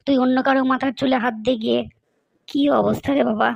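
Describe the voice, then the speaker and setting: A woman's voice: high-pitched, steady tone, fast, creaky, no room sound, dull audio, heavily acted. A woman voicing a grumpy, evasive, lazy schoolboy in a comedy cartoon, with a whiny, grumbling manner. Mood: annoyed.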